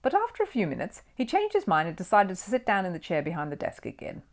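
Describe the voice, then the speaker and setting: A woman narrates a children's storybook as an audiobook reader. Her medium-pitched voice moves with plenty of melody, and she tells the tale expressively, shaping each scripted sentence for young listeners. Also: wildly swooping pitch, fast talker.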